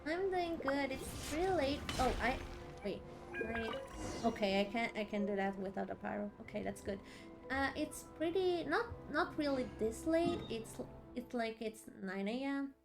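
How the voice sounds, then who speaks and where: A woman's voice: on the high side, expressive, moderate pace. A woman chatting casually on a game livestream, a bit sleepy, repeating phrases for emphasis. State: a bit sleepy after a long day. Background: background music.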